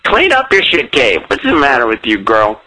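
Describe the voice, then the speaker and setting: A man's voice: medium pitch, huge pitch swings, normal speed, pushed and bright, no room sound, rough recording. A drunk man rambling in a campy, comic way as he leaves a casual voicemail over the phone. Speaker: listener phoning in a voicemail.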